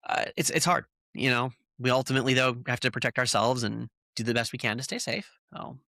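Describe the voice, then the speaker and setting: Podcast host, animated and sarcastic, bright dry sound, recording at home. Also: medium pitch, brisk, vocal fry, dry recording. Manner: animated, incredulous, sarcastic asides. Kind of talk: casual podcast chat.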